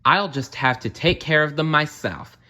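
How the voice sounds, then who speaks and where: A man voicing a sweet, modest young princess-to-be from a storybook, gentle and earnest. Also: a little high, expressive, normal speed, voice pushed up.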